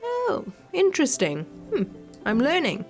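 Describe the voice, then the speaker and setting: Expressive woman reading aloud over music. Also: high voice, measured pace. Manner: expressive read-aloud with playful asides. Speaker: gaming video creator. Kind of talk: visual novel playthrough, reading aloud with reactions. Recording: music behind the voice. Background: game music.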